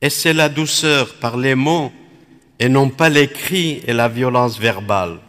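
A man from Iraq, a Dominican friar whose first language is Aramaic, speaks in short, measured phrases with long pauses between them. His delivery is unhurried and contemplative. His voice carries a long reverb, as if spoken in a large hall. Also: mid-range voice, a bit bright. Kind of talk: slow reflective spiritual talk.

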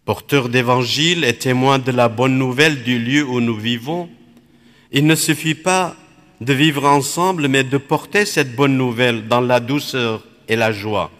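Slow, reflective priest in a hall. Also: male voice, mid-range voice, a bit bright. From Iraq. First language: Aramaic. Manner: measured, contemplative, phrase by phrase. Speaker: Dominican priest. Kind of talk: spiritual reflection.

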